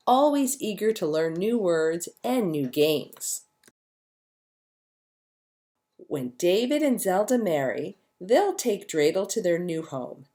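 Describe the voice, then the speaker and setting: A woman reading a children's story aloud as a narrator, with expressive storytelling delivery. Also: lowish voice. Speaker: storybook reader.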